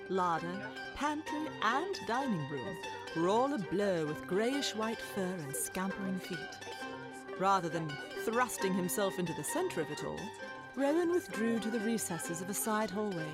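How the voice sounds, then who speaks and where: Lady storybook narrator, medium pitch, music playing behind.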